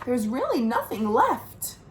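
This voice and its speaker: A woman reading a picture book aloud for young children as a storytime host, animated, with questions to listeners and dramatic pauses. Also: a little high, slight background noise. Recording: roomy, echoing indoor sound.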